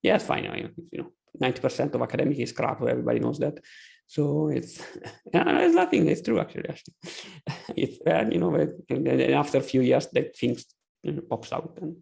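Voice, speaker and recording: A man's voice: mid-range voice, lively intonation, creaky, darkish tone. A man with an Italian accent, a podcast co-host chatting casually on a video call, joking and restarting his sentences often. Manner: joking, halting, many restarts.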